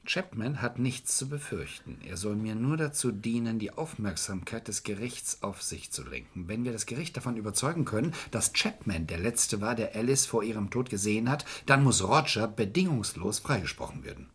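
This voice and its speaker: An actor playing a shrewd, calculating but courteous man in a German crime radio play, speaking in a measured, persuasive way. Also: fairly low pitch, lively intonation, fairly bright tone, slightly rough. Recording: vintage radio play recording.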